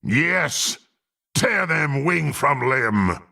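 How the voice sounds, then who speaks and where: An actor playing a brutal, power-hungry half-orc warrior in a fantasy game, gruff and haughty, with archaic formal phrasing. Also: male voice, fairly high pitch, lively intonation, extremely slow, sharp tone, exaggerated voice. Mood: brooding. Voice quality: gruff, gravelly. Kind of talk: dramatic fantasy game voice acting.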